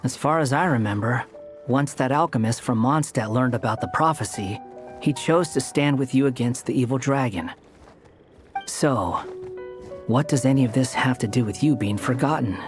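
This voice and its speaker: A man's voice: mid-range voice, normal speed, faint music behind, close to an everyday voice. A man in a video game voicing an aloof, sardonic young man with a cool, pointed way of talking. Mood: skeptical.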